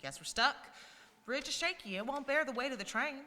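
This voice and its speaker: A woman's voice: sits high, expressive, a little fast, sharp tone. A woman playing a laconic, folksy railroad man in a radio drama. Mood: resigned. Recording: reverberant hall-like studio.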